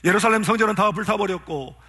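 High-voiced man preaching a sermon. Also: fast, bright, forceful tone. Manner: earnest, asks the congregation rhetorical questions. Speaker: pastor.

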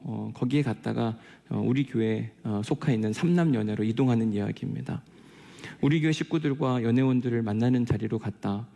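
A man preaches in a conversational, earnest way, weaving in personal stories. His voice is mellow and mid-pitched with a gravelly creak. Long hall reverb surrounds his words. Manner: conversational, earnest storytelling with rhetorical questions. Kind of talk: sermon with personal stories and diary reading.

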